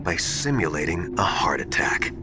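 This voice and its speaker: A man's voice: rumbling low, big pitch swings, moderate pace, background noise, heavily acted. An actor playing an anxious, earnest man confiding secrets in video game dialogue, speaking hesitantly. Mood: worried, insistent. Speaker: supporting character in a stealth action game. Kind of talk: dramatic video game voice acting. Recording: loud music under the voice.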